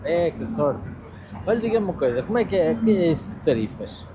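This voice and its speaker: A man's voice: on the high side, sing-song, fairly quick. Bargaining Portuguese man on a street. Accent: European Portuguese. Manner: teasing, bargaining. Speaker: local passerby asking about fares. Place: outdoors on a Lisbon street. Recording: street noise behind.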